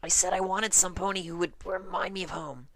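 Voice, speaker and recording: A man's voice: high voice, fairly even intonation, brisk, a bit bright, no room sound, voice pushed up. A man voicing a brash, pleading young female pegasus fighter pilot in a dramatic fan fiction reading. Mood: insistent, emotional.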